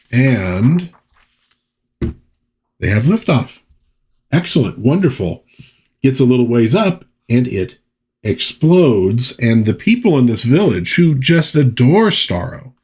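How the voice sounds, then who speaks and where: A man hosting a casual review, talking in a halting, musing way with pauses and repeated phrases. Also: mid-range voice, sing-song, darkish tone, slightly rough. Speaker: host of a comic book review series.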